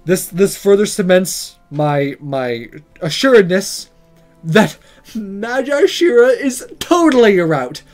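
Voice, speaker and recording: A man hosting a let's play, reading a visual novel aloud dramatically and commenting on it in an expressive, theatrical way. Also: sits high, slow, soft background music.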